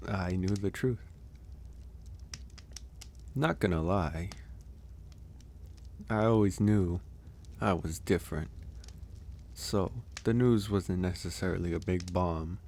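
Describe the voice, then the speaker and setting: A man playing a gentle, solitary werewolf in an ASMR audio roleplay, speaking softly and hesitantly, with sighs and pauses. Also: sits low, a little hiss or hum. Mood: reflective. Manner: hesitant, soft-spoken, unhurried with sighs and pauses. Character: gentle solitary male werewolf caring for an injured stranger.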